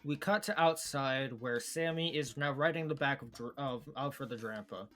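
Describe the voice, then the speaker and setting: A man narrating a tabletop game as game master over a voice call, in a loose, rambling way. He breaks into quick character voices and laughs often. Faint music plays behind him. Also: mid-range voice, a bit bright. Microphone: home microphone on a voice call.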